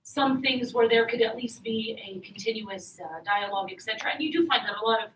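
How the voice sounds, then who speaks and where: A woman's voice: fairly high pitch, fairly quick, a bit bright. Woman panelist in an echoey room. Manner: hesitant, many fillers. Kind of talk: panel discussion. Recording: echoey room, distant mic, faint noise.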